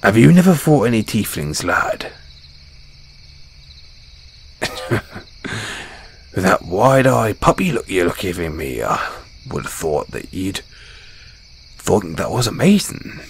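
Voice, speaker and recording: Casting: male actor as kindly battle-scarred dwarf smith, low-voiced and unhurried, humble fantasy roleplay storyteller, crickets faintly behind. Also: huge pitch swings, creaky, slight background noise, heavily acted. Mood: modest, resolute. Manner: folksy, chuckling, hesitant storyteller. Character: kind, modest, battle-scarred dwarven blacksmith, hearty adventurer. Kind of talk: fantasy audio roleplay, spoken to a companion.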